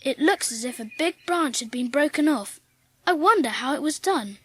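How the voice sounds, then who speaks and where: A woman's voice: high-pitched, expressive, normal speed, a bit bright. A woman playing a frail, imperious and eager upper-class English boy in an audiobook drama, earnest and well-spoken. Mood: curious, wistful.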